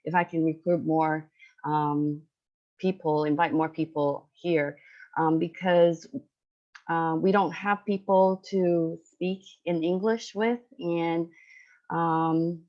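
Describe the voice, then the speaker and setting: A woman's voice: deep voice, fairly even intonation, slow. A woman from Taiwan with a Taiwanese accent, native in Chinese, speaking hesitantly in an informal online discussion among educators over dull call audio. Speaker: online teacher-training participant and educator. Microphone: online video call.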